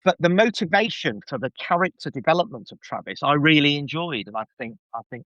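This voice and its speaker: A man's voice: a little high, lively intonation, fairly quick, fairly bright tone, no echo. A man chatting enthusiastically and effusively as a podcast guest. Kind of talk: casual enthusiastic review chat. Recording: slightly dull, lo-fi microphone sound.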